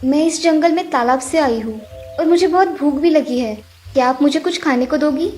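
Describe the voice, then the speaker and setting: A woman's voice: high-pitched, normal speed, a little mellow, faint music behind, close to an everyday voice. A woman voicing an innocent, helpful young village girl in a children's cartoon story. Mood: curious, polite.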